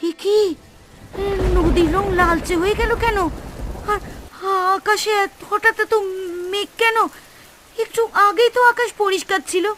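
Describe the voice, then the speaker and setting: A woman's voice: extremely high pitch, unhurried, music in the background. A woman playing a greedy, scheming, impatient village aunt in a Bengali cartoon, with theatrical, exclamatory delivery. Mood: alarmed, panicking. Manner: exclamatory, theatrical, coaxing and scolding. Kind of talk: Bengali cartoon moral-story acting.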